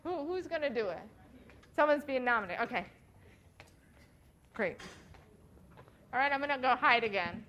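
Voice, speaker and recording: A woman with a Minnesotan accent teaches a church outreach workshop. Her voice sits a little high, and her talk is loose and chatty, full of "you know" and easy laughter. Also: expressive, moderate pace, pauses often, a bit bright, muffled recording, slight background noise. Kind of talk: workshop teaching and role-play.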